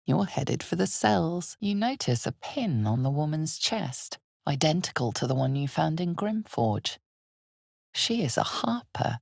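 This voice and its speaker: A woman voicing a solemn, ominous narrator of a dark fantasy video game, with measured, dramatic storytelling. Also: sits low, gravelly, slightly rough, no echo, clean studio sound, heavily acted. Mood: solemn, intimate. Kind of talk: scripted second-person fantasy game narration.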